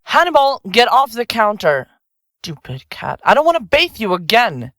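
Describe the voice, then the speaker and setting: A chirpy, remorseless woman who kills for her podcast. Her delivery is very animated and theatrical, sliding up and down with blithe self-regard. It is audio drama acting in a dry recording space. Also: mid-range voice, moderate pace, dry recording, exaggerated voice. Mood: absorbed, detached. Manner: blithe, chatty, flippant, theatrical. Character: chirpy, self-absorbed female podcaster and remorseless serial killer. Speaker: suspect under interrogation.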